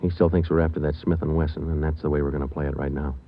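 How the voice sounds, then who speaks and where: A man's voice: a bass voice, little pitch movement, racing, background noise. An actor playing a clipped, matter-of-fact police sergeant who narrates his case in a 1940s radio drama. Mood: terse. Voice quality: terse, matter-of-fact delivery. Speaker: detective narrator. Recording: tinny old radio broadcast with music.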